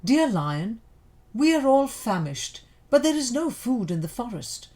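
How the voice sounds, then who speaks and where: A woman's voice: on the high side, very animated, unhurried, dark tone, voice pushed up. A woman voicing a small, loyal, self-sacrificing crow with an earnest, formal manner in a storybook. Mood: earnest, pleading.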